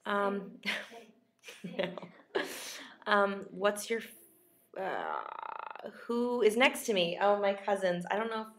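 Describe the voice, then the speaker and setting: A woman's voice: mid-range voice, very animated, slow pace, pauses often, a little hiss or hum. A young woman who is losing her voice, chatting casually on a livestream and reading out viewers' questions in a self-deprecating way. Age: young adult. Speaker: actress chatting with fans on a livestream. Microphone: laptop webcam at close range.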